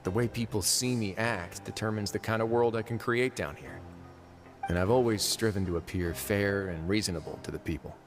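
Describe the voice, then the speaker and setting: An actor playing a composed, fair-minded duke and prison warden in a video game, measured and dryly wry. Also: male voice, lowish voice, natural voice. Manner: measured, deliberate, dryly playful. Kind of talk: video game character dialogue. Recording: game music behind the voice.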